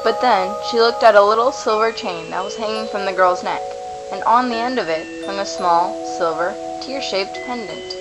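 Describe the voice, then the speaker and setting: A woman's voice: mid-range voice, moderate pace, low-quality recording. A woman reading scripted narration for a fantasy radio play. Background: music.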